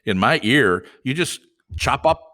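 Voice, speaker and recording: A man hosting a business podcast, interviewing a guest in a casual, teasing way with laughs and halting restarts. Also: mid-range voice, lively intonation, bright, forceful tone. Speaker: podcast host.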